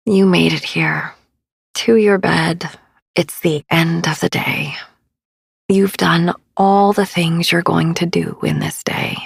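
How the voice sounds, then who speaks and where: A woman speaking in a soothing, hushed, often near-whispered voice as she guides a sleep meditation, breathy and husky with vocal fry, pausing gently between phrases. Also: low voice, no echo, studio quality. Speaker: podcast host, meditation guide. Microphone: close studio microphone, dry.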